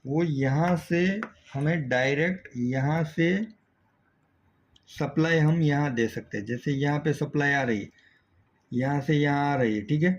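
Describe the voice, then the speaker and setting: A man's voice: on the high side, unhurried. A man with an Indian accent giving a hands-on technical tutorial as a repair instructor, explaining step by step and often checking in with the learner. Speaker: auto electrical repair instructor. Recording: dull lo-fi sound, faint background noise.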